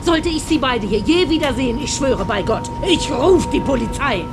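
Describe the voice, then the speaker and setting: An actress playing a furious, foul-mouthed laundromat manageress in a shrieking tirade in a radio play. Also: female voice, sits high, animated, moderate pace, music in the background.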